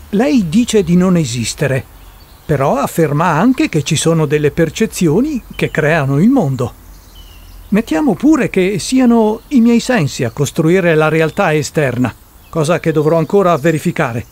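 A man's voice: fairly high pitch, very animated, measured pace, a little mellow. An actor in a radio drama playing a persistent, puzzled man who questions formally and methodically in search of sense. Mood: probing. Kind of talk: Italian radio drama acting.

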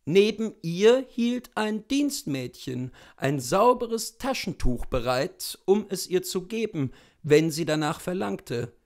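A man in his thirties reading a literary story expressively, with deliberate dramatic pauses. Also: high voice, sing-song, darkish tone. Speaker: literary reader, narrator. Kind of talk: expressive literary audiobook narration. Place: indoors in front of bookshelves.

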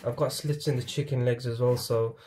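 A man hosting a home cooking channel, talking viewers through a recipe step by step in a steady, instructive way from his kitchen. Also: medium pitch, fairly quick, very noisy. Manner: steady, instructive, often addresses viewers as guys.

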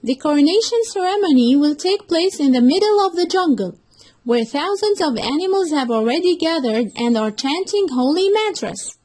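Storybook fox, played by a woman: high-pitched, cunning, emphatic flatterer with a scornful edge. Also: normal speed. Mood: persuasive. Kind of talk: children's storybook reading.

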